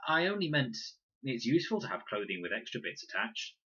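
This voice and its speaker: A man's voice: medium pitch, sing-song, brisk, pushed and bright, slightly rough, muffled recording, pitched above the performer's own voice, exaggerated voice. A man voicing a well-meaning young boy in an earnest, friendly manner for a children's audiobook. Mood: defensive.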